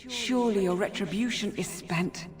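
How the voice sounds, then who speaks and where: Casting: actress as female forest spirit, fantasy game, solemn and compassionate, measured formal speech, cavernous reverb. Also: mid-range voice, faint music behind, lightly acted. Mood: solemn, reproving. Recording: long echoing reverb.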